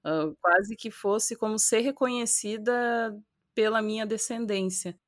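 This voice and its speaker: A woman's voice: medium pitch, a little slow, no room sound, studio quality. A woman from the Rio Grande do Sul countryside with a light gaúcho accent mixed with Porto Alegre speech, talking reflectively in a casual conversation. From southern Brazil, Rio Grande do Sul countryside.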